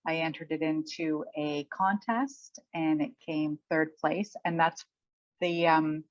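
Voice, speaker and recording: Older-ish woman in a casual interview, low voice, kinda halting, muffled lo-fi sound. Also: fairly even intonation, no echo. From Canada. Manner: halting, thinking aloud. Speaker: interviewed author. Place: remote online call. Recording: dull, muffled lo-fi audio.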